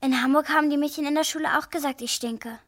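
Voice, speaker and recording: A woman's voice: sits high, fairly even intonation, fast, a bit bright. An actress playing a reserved young refugee girl who answers briefly and earnestly in a drama. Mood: wary. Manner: brief, matter-of-fact answers. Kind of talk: stage-style drama acting.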